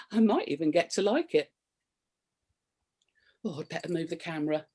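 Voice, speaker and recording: A woman's voice: lowish voice, darkish tone, breathy edge. An actress with a British accent playing a prim, flustered married woman, coy and curious, in a radio comedy. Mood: intrigued. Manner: coy, flustered, innuendo-laden delivery with nervous chuckles. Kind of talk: radio play comedy acting, confessional monologue and banter.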